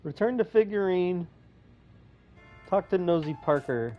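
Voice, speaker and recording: A man's voice: high voice, animated, a little slow, dull audio, faint music behind. A man giving casual gameplay commentary, thinking aloud in an informal way. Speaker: video game streamer.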